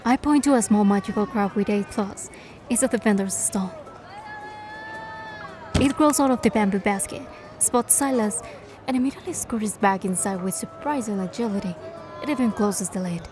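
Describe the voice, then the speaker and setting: A woman's voice: medium pitch, normal speed, music in the background, crowd noise, close to an everyday voice. A woman voicing a composed, playful young noblewoman who narrates her fantasy game adventure in a fan dub. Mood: composed, curious. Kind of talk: first-person scripted narration and dialogue, fan dub.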